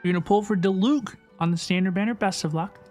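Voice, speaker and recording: A woman's voice: mid-range voice, fast, dark tone. A woman livestreaming a game, chatting casually and effusively as she answers her viewers. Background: game music.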